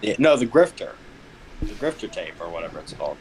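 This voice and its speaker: A man's voice: on the low side, sing-song, fast, slight background noise, rough recording. A man bantering bluntly as a co-host on a casual livestream, throwing in short interjections. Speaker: co-host of a story critique stream.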